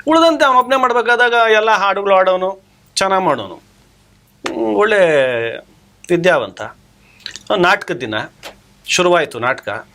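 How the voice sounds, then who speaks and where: A man's voice: high-pitched, very animated, a little slow, long pauses, bright, forceful tone. A man tells anecdotes in an informal way. He is an amateur stage actor and voices the people in his stories as he goes. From rural Karnataka, India. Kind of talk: casual anecdote telling.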